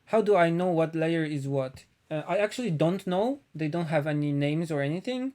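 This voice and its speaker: A man from Poland with a Polish accent, chatting casually on a live stream as he paints, thinking aloud with frequent pauses. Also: on the high side, lively intonation, darkish tone.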